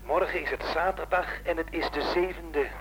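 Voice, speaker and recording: A man's voice: on the high side, fairly even intonation, normal speed, a bit bright, natural voice. A man acting in a radio play as a bitter, menacing caller who taunts and insists. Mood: menacing, taunting. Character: menacing, bitter man tormenting an old acquaintance by phone. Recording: noisy radio play recording, telephone-like.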